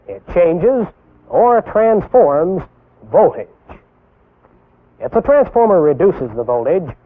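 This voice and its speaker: A young American man giving scripted instructional narration as a military instructor, deliberate and didactic, posing rhetorical questions. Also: fairly high pitch. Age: young adult. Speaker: military instructor presenting a training film. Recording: tinny band-limited 1960s film soundtrack.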